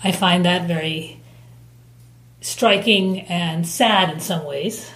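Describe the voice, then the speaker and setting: A woman's voice: on the low side, fairly bright tone. Thoughtful, hesitant woman in an echoey room. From United States. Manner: thoughtful, hesitant, with frequent ums and pauses. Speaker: interviewee, mother of a teen. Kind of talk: reflective interview answers.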